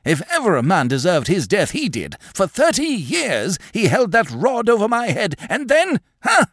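A man voicing an agitated, rambling old gentleman with a sharp, unnatural voice and wild, excitable outbursts. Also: high-pitched, normal speed, dry recording, studio quality, pitched above the performer's own voice, heavily acted. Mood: wild, excited.

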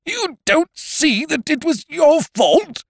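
A man voicing a pompous, indignant chancer in an audiobook, loud and emphatic, stammering, with old-fashioned British slang. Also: low-pitched, huge pitch swings, moderate pace, no room sound, studio quality, full character voice. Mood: indignant, outraged.

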